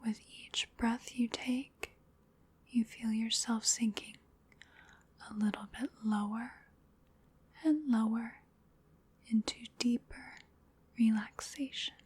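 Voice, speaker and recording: A woman whispering in a breathy voice, giving soothing, unhurried ASMR hypnosis as part of a roleplay. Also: fairly high pitch, steady tone, a little mellow. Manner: soothing, unhurried, repetitive guided relaxation. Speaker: ASMR performer.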